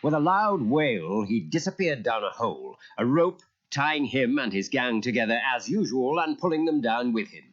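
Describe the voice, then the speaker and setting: Husky, animated male storybook narrator. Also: medium pitch, normal speed, few pauses. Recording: muffled old audio cassette.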